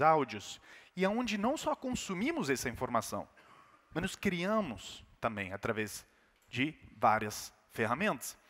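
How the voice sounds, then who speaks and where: A man's voice: fairly high pitch, a bit bright. A man with an Italian accent, whose first language is Italian, giving an emphatic business keynote with rhetorical questions. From Italy. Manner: emphatic, rising and falling delivery with rhetorical questions. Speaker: keynote speaker. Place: large conference hall. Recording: reverberant hall.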